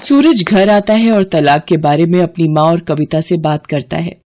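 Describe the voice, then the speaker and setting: A woman's voice: fairly low pitch, moderate pace, mellow. A woman storyteller reading scripted narration for a moral family tale.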